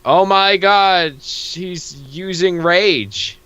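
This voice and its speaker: A man's voice: high-pitched, extremely slow, sharp tone, smooth, lo-fi sound. A man co-hosting a comic read-along, giving casual, mocking, profane commentary with an incredulous manner. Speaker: co-host reading a comic aloud.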